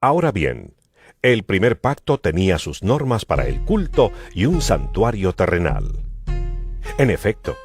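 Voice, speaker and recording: A man's voice: deep voice, very animated, a little slow. A man narrating dramatized scripture in an emphatic, declamatory manner. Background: music.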